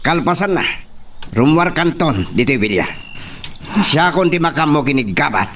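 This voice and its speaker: A man's voice: fairly high pitch, expressive, moderate pace, fairly bright tone. A man playing a cruel henchman who threatens and taunts, in an audio soap drama. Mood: taunting menace. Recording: distant mic, faint background noise.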